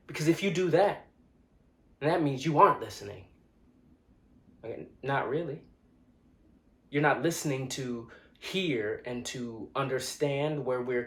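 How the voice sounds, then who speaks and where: Young man played by a male actor, mid-pitched, earnest and insistent monologue delivery, deliberate pauses, roomy echo. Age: young adult. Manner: deliberate, hesitant pauses and repeated words, building to insistence. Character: earnest, indignant young man confronting strangers he overheard. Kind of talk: dramatic monologue, conversational direct address. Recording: echoey room.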